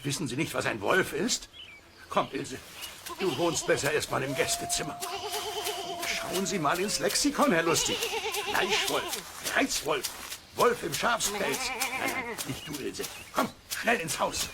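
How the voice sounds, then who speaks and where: A man's voice: on the high side, sing-song, a little slow, creaky, full character voice. A man playing a blustery, self-satisfied and easily alarmed neighbour in a German children's radio play, pompous and emphatic. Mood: alarmed, indignant. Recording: noisy cassette transfer of radio play.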